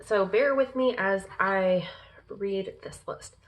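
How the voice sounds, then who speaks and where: A woman reads a long list aloud off her laptop at a slow, careful pace. She hesitates often, filling gaps with ums and uhs and tripping over unfamiliar words, in a mid-pitched voice. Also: low-quality recording. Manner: halting, with many ums and uhs, stumbling over names. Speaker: pagan lifestyle vlogger. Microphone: laptop in front of her.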